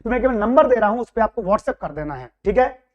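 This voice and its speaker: A man who speaks with a Madhya Pradesh Hindi accent and has a hoarse, worn throat, giving students an excited, reassuring result update as their coaching teacher. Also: high voice, quick pace, muffled recording. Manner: excited, reassuring, repeats phrases. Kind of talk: casual direct-to-camera update.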